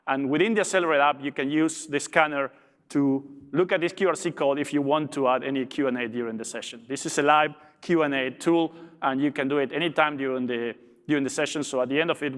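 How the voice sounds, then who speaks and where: A man's voice: mid-range voice, steady tone, fast talker, a bit bright. Argentine-accented man presenting in a hall. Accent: Argentine Spanish. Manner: upbeat, with small restarts. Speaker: logistics director presenting. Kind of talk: conference presentation. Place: large conference hall. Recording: long reverb of a big hall.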